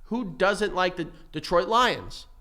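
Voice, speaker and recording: A man's voice: high-pitched, animated, slow pace, pushed and bright. A man co-hosting a podcast, teasing and riffing playfully in crude casual banter. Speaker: podcast co-host.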